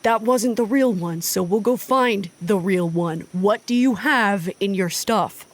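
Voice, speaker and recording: Actress doing stubborn driven woman pushing her tired group on, snappy and impatient, medium pitch, audio drama. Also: a little slow, close to an everyday voice. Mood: stubborn, pressing. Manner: insistent, clipped, impatient. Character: driven, stubborn woman leading weary travelers, desperate and curt. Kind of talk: naturalistic audio drama acting.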